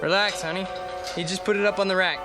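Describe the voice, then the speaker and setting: Male actor as young newlywed husband for radio drama. High voice, conversational, placating, mildly nervous, scored with music. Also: steady tone, quick pace, natural voice. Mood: soothing. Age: young adult. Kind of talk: naturalistic radio drama dialogue.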